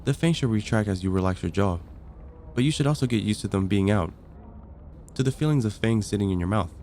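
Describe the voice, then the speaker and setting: A man playing a composed, matter-of-fact vampire counselor in an ASMR roleplay, speaking in a measured, clinical way. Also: lowish voice, darkish tone, a little hiss or hum, natural voice. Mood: clinical, reassuring.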